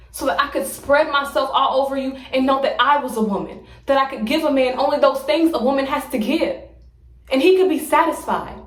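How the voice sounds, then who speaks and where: Woman acting a wounded, abandoned lover, echoey room. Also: high voice. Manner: pleading, accusing, insistent repetition. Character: wounded woman confronting the lover who left her. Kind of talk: theatrical stage monologue.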